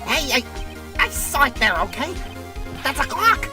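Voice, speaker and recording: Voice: sits high, sing-song, measured pace. A performer voicing a shifty, hot-tempered male witness in an amateur courtroom game dub, blustering defensively. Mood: indignant. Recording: distant mic under loud music.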